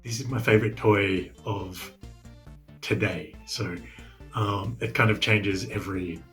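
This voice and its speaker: Low-voiced, relaxed collector man. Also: a little slow, faint music behind. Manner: relaxed, rambling, filler-heavy. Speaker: toy collector. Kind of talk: casual video testimonial.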